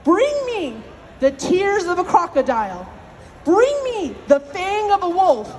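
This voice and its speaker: A woman's voice: very high-pitched, very animated, takes it slowly, a little mellow, slight background noise, heavily acted. A woman voicing a mighty but lazy male god of animals in a storybook tale, weary and commanding. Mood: dismissive, commanding.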